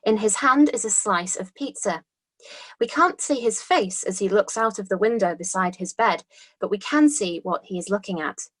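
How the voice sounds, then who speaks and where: A woman's voice: medium pitch, moderate pace, fairly bright tone. A woman reading scene descriptions from a script as dramatic narration. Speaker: script reader. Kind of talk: scripted narration, screenplay read-through.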